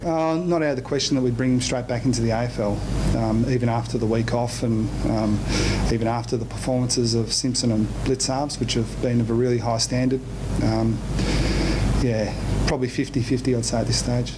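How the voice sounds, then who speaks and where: Aussie bloke doing footy coach presser, measured and pretty monotone, noisy rumbly audio. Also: male voice. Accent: Australian. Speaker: football coach at a press conference. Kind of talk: press conference answers.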